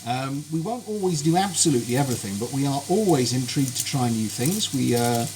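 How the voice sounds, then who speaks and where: Guy rambling away while he cooks, voice bouncing up and down, pans sizzling loud behind him. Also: medium pitch, lively intonation, fairly quick. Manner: chatty, genteel and cheerful, greeting viewers by name. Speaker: cooking streamer. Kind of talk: live cooking stream chat. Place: home kitchen. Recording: kitchen noise with sizzling frying.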